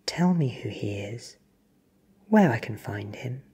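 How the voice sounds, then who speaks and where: A performer voicing an ancient, powerful vampire who speaks with cold, deliberate menace. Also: mid-range voice, animated, warm tone, husky, exaggerated voice. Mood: threatening.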